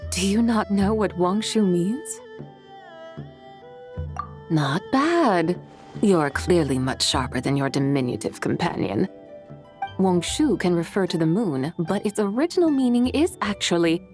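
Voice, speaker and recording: A woman's voice: fairly low pitch, lively intonation, unhurried, a little mellow, faint music behind. A woman playing an ancient, proud, whimsical immortal horse spirit in a video game, speaking in lofty, theatrical, poetic archaic phrases. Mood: musing. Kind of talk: video game character dialogue.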